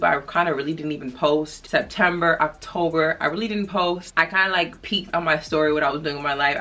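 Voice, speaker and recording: A woman's voice: sits low, bright, forceful tone. A woman, chatting casually to camera as a vlogger, rambling with lots of slang. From New York. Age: 20s.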